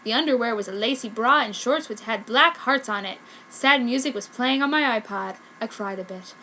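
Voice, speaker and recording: A woman's voice: a little high, moderate pace, sharp tone, slight background noise, low-quality recording. A woman reading fan fiction aloud as narrator, with a theatrical, mock-serious melodramatic delivery. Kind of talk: dramatic comedic story reading.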